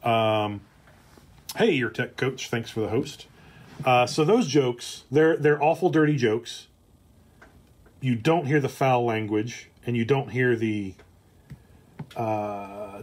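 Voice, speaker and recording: A man talks casually to viewers on a livestream. His medium-pitched voice moves slowly, halting often and hesitating with long pauses as he presents. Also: a little mellow. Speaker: streamer and comedy writer presenting a script.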